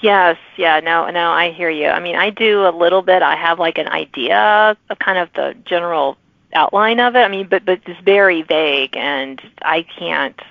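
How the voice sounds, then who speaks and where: A woman's voice: fairly low pitch, normal speed, gravelly, no room sound, phone-quality sound. A woman hosting a podcast interview in a casual, chatty and affirming conversational way. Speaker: podcast host interviewing an author.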